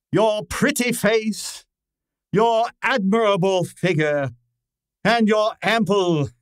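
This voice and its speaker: A man acts out a brilliant, pompous detective in a radio mystery. The voice is high for a man and slow, with every formal phrase precisely placed, recorded close and dry. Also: clear, resonant tone, no echo. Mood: formal, pleading. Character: pompous, brilliant male private detective, theatrical and exacting. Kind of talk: old-time radio detective drama acting.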